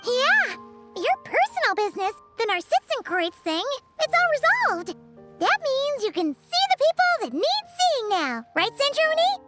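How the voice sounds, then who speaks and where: A tiny floating game companion, bubbly and childlike, with a very high, squeaky voice that leaps wildly up and down. Loud game music plays behind her. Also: big pitch swings, moderate pace. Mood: cheerful.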